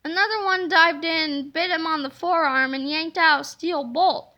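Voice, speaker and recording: Read-aloud narrator: woman with a very high, bright voice, telling a children's story expressively. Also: moderate pace, highs cut off.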